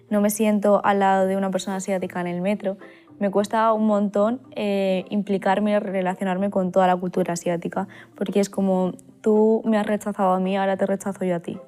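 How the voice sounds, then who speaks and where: A woman's voice: medium pitch, little pitch movement, brisk, warm tone, soft background music. A woman adopted from China speaking earnestly and openly in a documentary interview. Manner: earnest, open about hard feelings.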